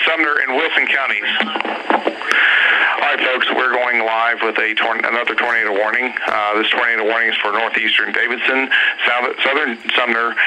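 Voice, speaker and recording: A man announcing live severe weather warnings on the radio in a halting, partly improvised way, repeating lists and times. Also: mid-range voice. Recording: very noisy weather radio broadcast.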